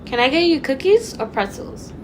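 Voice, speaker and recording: An actress playing a chirpy, easygoing flight attendant in an audio drama. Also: female voice, sits high, big pitch swings, normal speed. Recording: noisy airplane cabin ambience.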